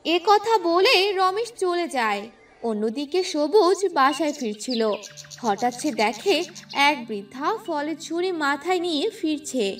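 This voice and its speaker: A woman reads scripted story narration for a cartoon. Her voice is high and moves widely in pitch, at a slow pace. Music is clearly audible underneath. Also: lively intonation. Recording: music behind the voice.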